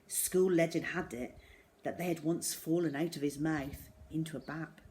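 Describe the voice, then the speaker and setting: A woman reading a children's story aloud in an expressive way, acting out the words as she goes. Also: low voice, moderate pace, slight background noise. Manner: expressive, acts out dialogue and sound words.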